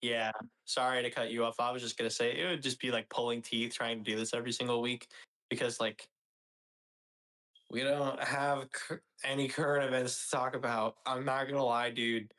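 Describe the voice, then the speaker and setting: A young man chatting as a podcast co-host, blunt and loose, dropping curse words and "dude" and "bro" as he goes. His pitch sits at an ordinary middle level. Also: flat delivery, moderate pace, bright, forceful tone. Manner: blunt, swears freely, says dude and bro. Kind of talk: casual podcast chat.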